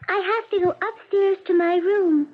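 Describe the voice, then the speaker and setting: A woman's voice: very high voice, moderate pace. A woman playing a sensitive, grieving little girl in a 1970s radio drama, speaking with childlike earnestness. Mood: earnest, fragile. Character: sensitive, bereaved little girl, quietly trusting. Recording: tinny old radio broadcast.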